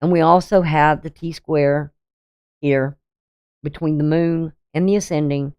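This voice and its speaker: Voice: medium pitch, steady tone, measured pace, darkish tone, clear, resonant tone. An astrologer in their sixties talking casually through a daily chart reading, rambling and hesitant, with many ums and restarts. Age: 60s.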